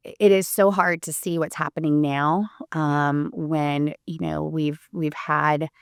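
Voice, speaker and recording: A woman in her forties from Puerto Rico, an attorney talking as a guest in an earnest, casual interview, with many pauses and restarts. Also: deep voice, fairly even intonation, gravelly, smooth, no echo, clean studio sound.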